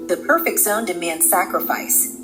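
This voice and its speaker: A woman playing the calm, ominous, enigmatic guide of a virtual simulation in a radio drama, speaking in a measured, solemn way. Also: fairly low pitch, music in the background, lightly acted, low-quality recording.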